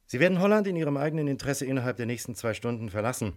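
A wily police inspector in a radio crime play, played by a male actor, with a mid-pitched voice. He is courteous and formal, choosing his words deliberately, firm and politely menacing. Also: expressive, lightly acted. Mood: formal, warning. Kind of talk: radio crime drama acting.

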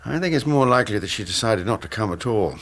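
An actor playing a stern, precise British country doctor in a radio drama, clipped and formal in manner. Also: male voice, fairly low pitch, animated, fast, fairly bright tone, slight background noise. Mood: impatient.

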